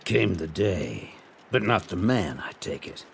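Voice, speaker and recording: Gravelly, slow noir detective. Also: male voice, slight background noise, full character voice. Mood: dry, probing. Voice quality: gravelly, low. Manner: slow, dry, deadpan. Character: wry, heavy-drinking 1930s police detective, sardonic noir man. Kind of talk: gothic noir audio drama acting.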